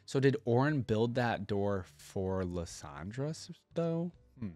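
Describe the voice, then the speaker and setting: A man's voice: lowish voice, very animated, slow, darkish tone, no room sound, faint music behind. A man hosting a reaction video, musing aloud in an easygoing way and reading bits out as he comments.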